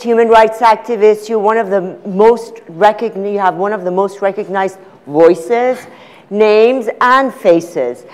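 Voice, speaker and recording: A middle-aged woman moderating a conference panel, speaking in a measured way with frequent pauses and fillers. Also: medium pitch. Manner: measured, hesitant with many fillers. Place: conference stage before an audience.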